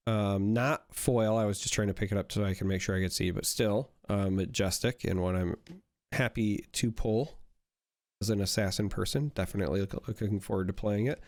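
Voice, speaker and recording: Low-voiced man, a bit worn from illness. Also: normal speed, darkish tone, no echo, studio quality. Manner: rambling, many fillers and restarts. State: recovering from illness, voice not fully back. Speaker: card game YouTuber opening packs. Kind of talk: casual pack-opening commentary.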